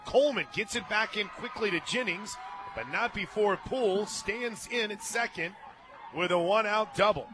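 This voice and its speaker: An American man doing live radio play-by-play of a softball game, chatting between pitches. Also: moderate pace. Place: stadium radio booth, open to outdoor noise. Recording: clear outdoor ballpark noise.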